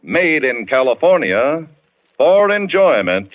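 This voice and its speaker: A man's voice: on the high side, sing-song, slow pace, slight background noise. An American man reading a scripted advertisement in the style of a 1940s radio announcer. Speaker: commercial announcer. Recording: muffled old 1940s radio broadcast.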